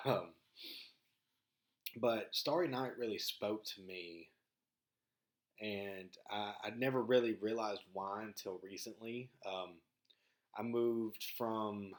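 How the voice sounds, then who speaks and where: A man from rural Mississippi with a Southern accent, talking casually to viewers in a laid-back, halting, folksy way as a vlog host. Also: fairly low pitch. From rural Mississippi, southern United States.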